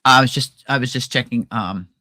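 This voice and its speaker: A woman co-hosting a casual crafting livestream, chatty and animated, often trailing off and restarting. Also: rumbling low, moderate pace, no echo. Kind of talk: casual chat. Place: home livestream.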